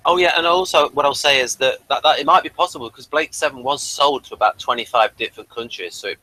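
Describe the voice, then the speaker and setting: A man's voice: mid-range voice, fast talker, bright, forceful tone, dry recording, rough recording. A man chatting casually as a podcast co-host. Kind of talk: casual chat.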